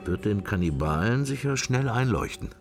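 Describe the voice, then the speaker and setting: Radio drama inner monologue: wry, irreverent observer, deep and gravelly, thinking in musing fragments. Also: male voice, lively intonation, normal speed. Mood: curious, irreverent. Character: wry, musing male sceptic, inner thoughts while observing church.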